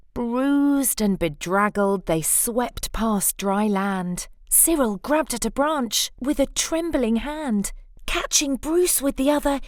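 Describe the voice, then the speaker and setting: A woman reading a rhyming children's picture book aloud in a dramatic, playful way with deliberate phrasing. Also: fairly high pitch, no room sound, studio quality. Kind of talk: expressive rhyming storybook read-aloud.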